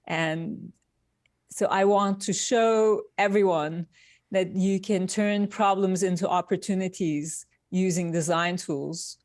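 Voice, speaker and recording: A woman from Turkey, speaking as an interview guest in unhurried, deliberate phrases. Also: fairly low pitch, steady tone, darkish tone, dry recording, studio quality. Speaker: guest, industrial designer. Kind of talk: conversational interview answers.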